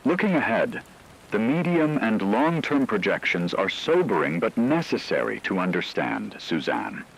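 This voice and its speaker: A man playing a solemn radio news reporter, delivering a scripted crisis bulletin with husky, deliberate gravity. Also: on the low side, sing-song, slight background noise, low-quality recording. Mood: grave, urgent. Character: grave male radio newsman reporting a worldwide crisis.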